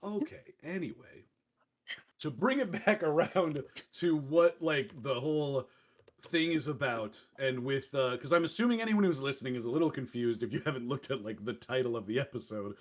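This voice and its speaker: A man's voice: mid-range voice, expressive, normal speed. A man hosting a podcast in casual chat, joking at his own expense and swearing freely. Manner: jokey, self-deprecating, swearing. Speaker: podcast host.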